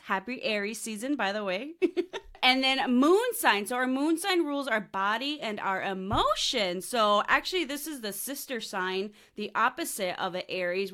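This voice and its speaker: A woman's voice: on the high side, pushed and bright. A woman hosting a podcast, bubbly and enthusiastic, laughing often and mixing in Spanish slang words. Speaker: podcast host. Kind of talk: casual interview with astrology talk.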